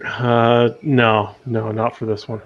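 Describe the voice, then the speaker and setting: Male gamer type, muffled lo-fi USB mic, hesitant, mid-pitched. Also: level tone, slow pace. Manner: hesitant, reads settings aloud. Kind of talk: casual tech chat.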